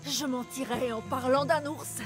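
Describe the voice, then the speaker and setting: A woman's voice: high-pitched, fairly even intonation, measured pace, over music. An actress playing a wounded young female smuggler in a French game dub, weary and sardonic. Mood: bitter, wary.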